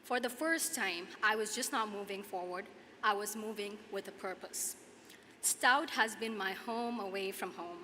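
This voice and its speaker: Bright-voiced young Nepali-accented woman giving a speech. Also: mid-range voice, a little slow. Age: 20s. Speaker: student commencement speaker. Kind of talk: prepared commencement speech. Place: large hall at a podium, audience ambience. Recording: echoey hall PA, clear background noise.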